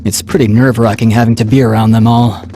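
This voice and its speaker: An actor playing an ordinary, nervous soldier grumbling in a video game. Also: male voice, on the low side, level tone, quick pace, warm tone, natural voice. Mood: uneasy. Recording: clear background noise.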